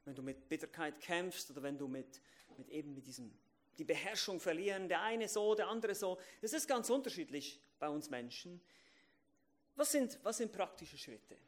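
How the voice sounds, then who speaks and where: A man delivering an emphatic sermon in a fairly high voice. He repeats key phrases and asks his listeners questions. He swings between loud, stressed lines and quiet asides. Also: expressive, moderate pace. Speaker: preacher.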